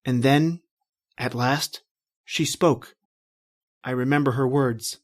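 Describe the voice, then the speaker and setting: A man's voice: mid-range voice, steady tone, takes it slowly, natural voice. An actor playing an anguished married man trapped in prehistoric time, telling his horror in melodramatic old-time radio style. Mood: haunted, horrified. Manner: melodramatic, intense, repetitive narration.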